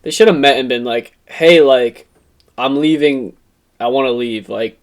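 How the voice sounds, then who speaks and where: A man's voice: mid-range voice, a little slow. Emphatic young man chatting casually. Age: young adult. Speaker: podcast co-host. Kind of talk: casual chat among friends.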